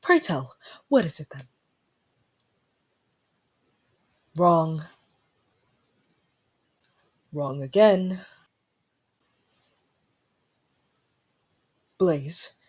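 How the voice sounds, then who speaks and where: Casting: an actor as a proud young fantasy prince of frost, tsundere temper, husky mid-pitched voice, formal and prickly. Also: sing-song, slow pace, pauses often, exaggerated voice. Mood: haughty, cold. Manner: indignant, formal, dramatic sighing delivery. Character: young haughty, easily flustered ice prince, tsundere temper. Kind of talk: fantasy audio roleplay acting.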